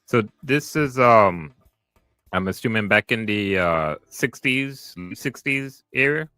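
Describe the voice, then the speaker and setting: Very deep-voiced podcast host. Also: male voice, takes it slowly, clean tone, no room sound. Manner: relaxed, loose with fillers and restarts. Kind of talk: casual interview. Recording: dry close mic, faint music.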